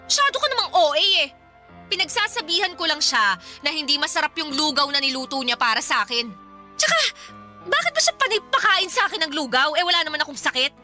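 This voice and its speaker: A woman's voice: high voice, sing-song, quick pace, bright, forceful tone, heavily acted. A woman playing a sulky, whiny, argumentative young Filipino girlfriend in a radio drama. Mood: irritated, whiny. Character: sulky, ungrateful young pregnant girlfriend, snappy and complaining. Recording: music bed under the voice.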